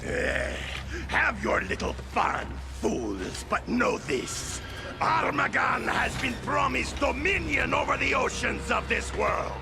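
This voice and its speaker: An actor playing a boastful fish mutant villain who shouts gravelly threats. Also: male voice, extremely high pitch, big pitch swings, slow pace, music in the background, exaggerated voice. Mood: menacing. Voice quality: gravelly, snarling.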